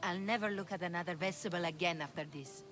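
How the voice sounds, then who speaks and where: A woman playing a weary, sardonic female islander in a video game, with an expressive delivery. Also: on the low side, quick pace, music in the background, natural voice. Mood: wry. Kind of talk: video game dialogue acting.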